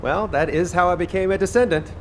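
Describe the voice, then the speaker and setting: An actor playing an easygoing young chef in a video game, with a casual, teasing way of talking. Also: male voice, high voice, fairly quick, slight background noise, lightly acted. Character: easygoing, joking young male chef and soldier.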